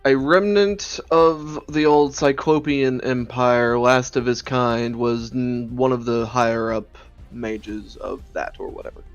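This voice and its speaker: A man's voice: medium pitch, fairly even intonation, unhurried, few pauses, clean tone, dull audio, soft background music, close to an everyday voice. A man playing a dutiful, matter-of-fact crewman who explains things deferentially in a tabletop game. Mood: explanatory.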